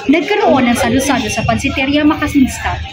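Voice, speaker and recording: A woman's voice: sits high, lively intonation, fairly quick. A young woman rehearsing scripted narration for an amateur radio drama, repeating names and phrases. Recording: loud music over the voice. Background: loud music.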